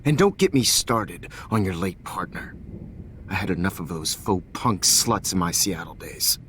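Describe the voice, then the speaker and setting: An actor playing a smug, menacing male teacher and killer in a video game, condescending and deliberate in manner. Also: low-pitched, lively intonation, fairly bright tone, slight background noise. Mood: self-satisfied musing. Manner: smooth, condescending, taunting, deliberate. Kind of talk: naturalistic video game voice acting.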